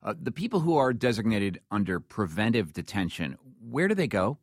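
A man's voice: on the low side, very animated, a little fast, no echo, clean studio sound. A man hosting an interview, asking questions in a direct, plain-spoken, conversational way. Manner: direct, plain-spoken, rephrases points colloquially. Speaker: interviewer, host.

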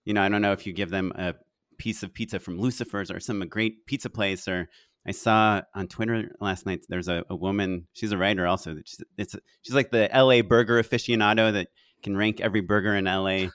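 A man's voice: fairly low pitch, steady tone, a bit bright, clear, resonant tone, no echo, studio quality. A man with a nasal voice chatting casually and joking about himself as a podcast guest. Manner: joking, self-deprecating, halting restarts.

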